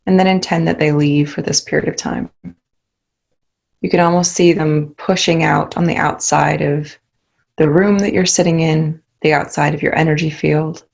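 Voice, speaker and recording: A woman leading a guided meditation, giving calm, soothing instructions with many pauses. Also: deep voice, vocal fry, husky.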